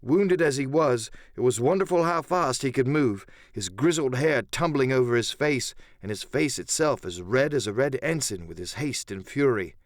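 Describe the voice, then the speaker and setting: A man's voice: medium pitch, lively intonation, normal speed, few pauses, dry recording, studio quality. A man narrating an adventure audiobook in the first person, with theatrical, literary storytelling.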